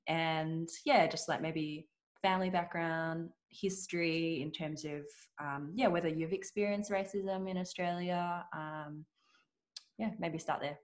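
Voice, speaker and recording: A woman with a lowish voice hosts a podcast interview. She chats casually and loosely, sprinkling her speech with "um"s and soft laughs as she introduces her guests. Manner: warm-hearted, chatty, with frequent fillers and laughs. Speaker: podcast host.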